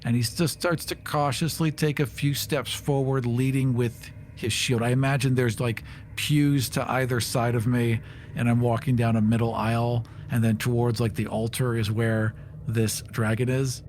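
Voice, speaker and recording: A man's voice: mid-range voice, little pitch movement, moderate pace, slight background noise. Casual man narrating his character. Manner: casual, thinks out loud with fillers. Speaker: player.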